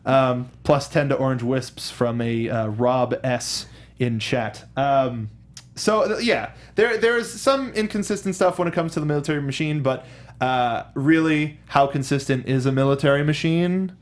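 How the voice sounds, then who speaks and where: A man's voice: medium pitch, normal speed. Man hosting a casual movie chat. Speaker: host of a film discussion show.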